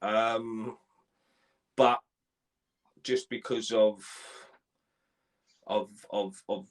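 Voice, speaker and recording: A man in his forties from Ireland, telling his life story in a live interview, halting, with many restarts and repeated words. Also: lowish voice, steady tone. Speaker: guest.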